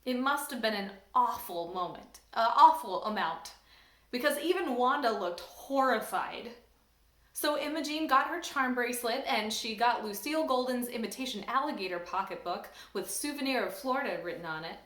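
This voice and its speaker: A woman from a church staff reading a children's storybook aloud in an animated, expressive way. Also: a little high, moderate pace. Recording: roomy echo.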